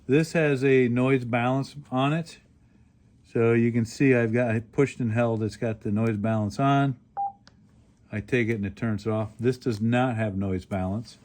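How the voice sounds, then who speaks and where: A man's voice: mid-range voice, steady tone, measured pace, darkish tone. A man giving a casual hands-on product review as a video host, explaining features plainly and step by step as he handles the gear. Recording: clearly noisy home-made recording.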